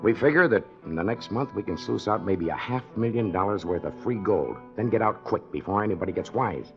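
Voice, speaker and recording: An actor playing a wary, stern mine boss with an American accent, speaking forcefully in a 1940s radio drama. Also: male voice, fairly low pitch, expressive, brisk. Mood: wary, businesslike. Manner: forceful, cautioning. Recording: muffled 1940s radio recording, faint music.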